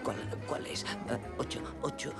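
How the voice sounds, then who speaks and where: A man's voice: a little slow, over loud music, close to an everyday voice. An actor playing a flustered male con man who poses as a rich oilman, rambling and stammering through his bluffs. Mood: muttering, straining to recall. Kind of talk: dubbed film acting.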